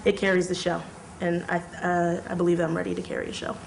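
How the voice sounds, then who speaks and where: A woman's voice: fairly low pitch, flat delivery, fast talker, vocal fry, distant mic, very noisy. A teenage girl auditioning for a school musical, coaching a friend through a song and talking earnestly about taking a risk. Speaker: student auditioning. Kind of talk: interview and singing coaching.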